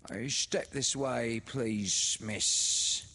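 A man's voice: mid-range voice, very slow, bright, forceful tone, pitched above the performer's own voice, full character voice. A man voicing a sly, oily working-class woman, the dead man's sister, fawning over a visitor in a read-aloud story.

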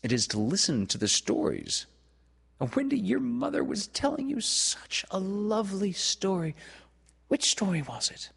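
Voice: medium pitch, wildly swooping pitch, moderate pace, gravelly, few pauses, fairly bright tone, husky, voice pushed up, full character voice. A narrator voicing a cocky young boy adventurer in a storybook, earnest, sly and coaxing. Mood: eager, coaxing.

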